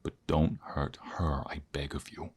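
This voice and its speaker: Man acting a husky, desperate, protective mobster. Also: flat delivery, unhurried, a little mellow, exaggerated voice. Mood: pleading. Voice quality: husky, breathy, gravelly low voice. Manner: intense, emotional, often dropping to whispers. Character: desperate, protective young mobster pleading for his beloved. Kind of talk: ASMR romance audio roleplay acting.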